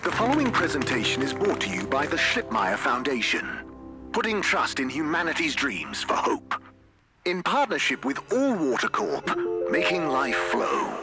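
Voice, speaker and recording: A slick salesy promo announcer. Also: male voice, a little high, sing-song. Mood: hyped promo. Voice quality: smooth, salesy, dramatic pauses. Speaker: advertisement narrator. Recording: music loud underneath.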